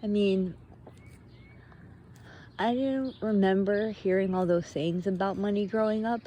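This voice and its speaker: A woman talks slowly and reflectively, letting her phrases hang and repeat as she thinks aloud. Her voice is soft-edged and mellow. She is outdoors, with faint natural noise and birds around her. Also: medium pitch, steady tone. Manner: musing, halting, drawn-out phrases. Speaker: host of a personal walking monologue. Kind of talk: unscripted reflective monologue.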